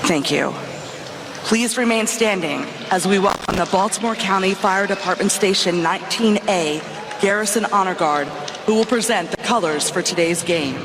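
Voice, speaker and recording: A stadium public address announcer reading formal ballpark announcements in a slow, measured, solemn manner. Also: mid-range voice, noisy background. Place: baseball stadium. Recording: ballpark PA with long reverb. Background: crowd ambience.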